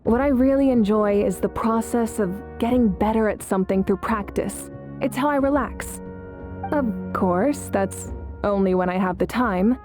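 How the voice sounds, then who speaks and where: A woman's voice: mid-range voice, a little mellow, close to an everyday voice. Composed, resolute female war leader, acted by a woman. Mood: relaxed, reflective. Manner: measured, assured. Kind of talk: video game character acting. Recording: game music behind the voice.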